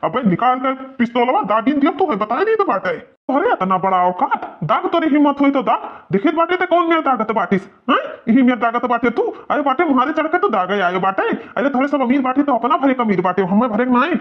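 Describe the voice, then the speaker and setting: A man's voice: very high-pitched, sing-song, fairly quick, hardly any pauses. A man voicing a quarrelsome, blustering village man in an Awadhi cartoon comedy, scolding in exaggerated dialogue. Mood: angry, blustering. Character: blustering, quarrelsome village men of a feuding family. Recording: dull, lo-fi cartoon soundtrack.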